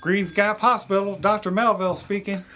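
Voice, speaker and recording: A man's voice: high-pitched, fairly even intonation, normal speed, a bit bright, slight background noise. A man playing an inquisitive small-town hospital doctor in a scripted radio mystery. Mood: curious, concerned. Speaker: amateur voice actor in an audio mystery.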